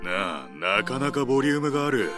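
A man playing a calm, plain-spoken, level-headed male colleague in a radio drama. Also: mid-range voice, fast, close to an everyday voice. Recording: music under the voice.

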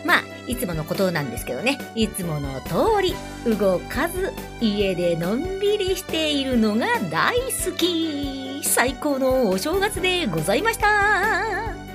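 A woman presents a radio programme in a highish voice with very lively intonation. Her talk is chatty yet polite, over light background music. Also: a little slow. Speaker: radio show host. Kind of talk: chatty, polite show introduction.

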